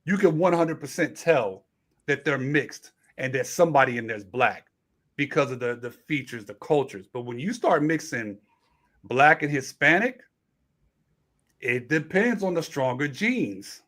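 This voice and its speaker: A man's voice: medium pitch, expressive, measured pace, fairly bright tone. A man hosting a casual late-night panel discussion, explaining at length and restarting his sentences. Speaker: host.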